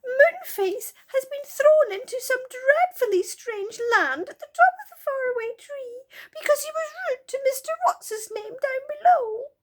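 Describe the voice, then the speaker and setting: A very high, squeaky little fairy girl from a children's storybook. She sobs and wails fretfully, and her pitch swoops about. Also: lively intonation, unhurried. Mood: tearful, confessing. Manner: sobbing, wailing delivery.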